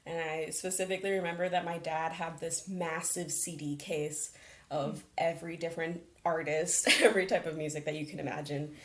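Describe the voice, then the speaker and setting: A woman's voice: sits low, fairly even intonation, fairly quick, fairly bright tone. A young woman, a programs manager, talking earnestly and reflectively in an interview conversation, laughing now and then.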